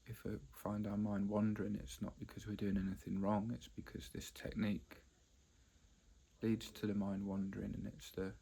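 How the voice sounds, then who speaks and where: A man with a husky, fairly low voice guides a meditation. His pitch barely moves, and he talks in short, halting phrases with long gaps, feeling his way through the explanation unscripted. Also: completely flat delivery, mellow. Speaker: meditation teacher.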